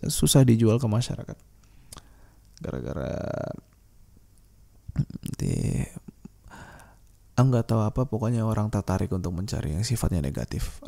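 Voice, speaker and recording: A man's voice: mid-range voice, vocal fry, mellow. A man hosting a solo podcast, talking in a casual, slangy, rambling way and thinking aloud with hesitations.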